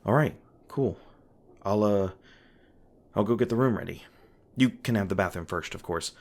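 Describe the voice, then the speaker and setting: A man voicing an awkward young monster hunter in an audio roleplay, low and hesitant, stumbling over his words as he looks out for the listener. There is a faint hiss under the voice. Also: normal speed, creaky, darkish tone. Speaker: roleplay voice actor.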